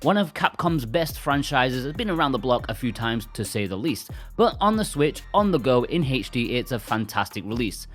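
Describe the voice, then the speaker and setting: A man's voice: mid-range voice, big pitch swings, normal speed, hardly any pauses, fairly bright tone. A man co-hosting a video game review, reading a script in an informal, chatty manner. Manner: informal, chatty, uses slang. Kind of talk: scripted review narration with casual asides. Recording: music behind the voice. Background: background music.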